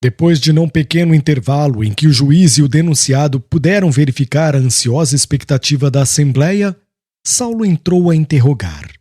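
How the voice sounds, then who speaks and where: A man's voice: fairly high pitch, expressive, moderate pace, warm tone, breathy edge. A man narrating a literary audiobook in a solemn, formal and dramatic style.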